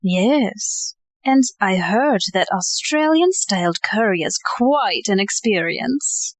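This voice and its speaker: A woman's voice: medium pitch, expressive, slow, dry recording. A woman playing a genteel, coy and self-possessed lady in a comedic audio play. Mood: flirtatious.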